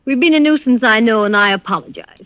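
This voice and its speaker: An actress playing a spirited, fragile, high-strung stage actress in 1940s radio drama style, with emotive, crisp theatrical phrasing. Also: female voice, quick pace, dry recording, highs cut off, natural voice. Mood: earnest.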